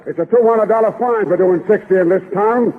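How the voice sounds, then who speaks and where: A gruff city traffic cop in an old radio comedy. His voice is high and husky, full of rough slang and bluster. The recording is muffled and lo-fi. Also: brisk. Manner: uneducated, slangy speech. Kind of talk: 1930s radio comedy acting.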